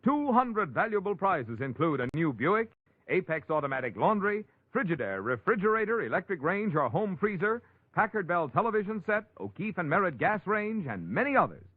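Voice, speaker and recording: A man's voice: high-pitched, big pitch swings, normal speed, no room sound. A man with an American accent reading scripted sponsor messages and credits in the style of a 1940s radio announcer. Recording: tinny vintage radio recording with music. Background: music.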